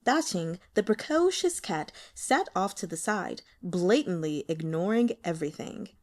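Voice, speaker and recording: Woman audiobook narrator, very lively intonation, expressive fiction reading. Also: on the low side, a little slow. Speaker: audiobook reader.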